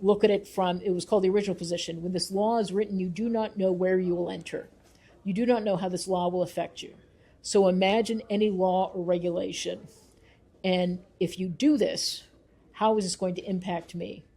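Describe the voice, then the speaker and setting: A woman researcher talks in an interview with a mellow, soft-edged voice. She explains her views earnestly and leans on key points with emphasis, in a thoughtful, conversational way. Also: on the low side, fairly even intonation, brisk. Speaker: researcher and author being interviewed.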